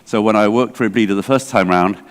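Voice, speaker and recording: A man's voice: lowish voice, fast talker. A man in his sixties with an English accent, giving a chatty, digressive conference talk full of fillers. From England. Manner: chatty, digressive, self-deprecating, many fillers. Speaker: library association director. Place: large hall. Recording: long hall reverb.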